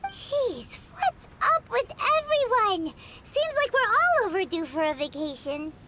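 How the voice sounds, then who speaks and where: A woman voicing a small, excitable, chirpy fairy companion who talks bossily in an anime-style video game. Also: very high-pitched, a little slow, slight background noise, full character voice. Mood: exasperated. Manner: chirpy, bossy, exasperated. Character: small excitable childlike floating fairy companion, female, talks in third person. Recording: studio voice under game music.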